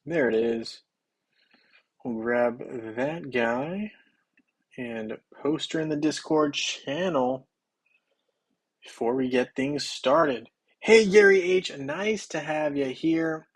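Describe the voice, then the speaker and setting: A young man, slightly hoarse, chatting casually on a game livestream and cheerfully greeting viewers by name. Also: on the high side, lively intonation, slow pace, pauses often.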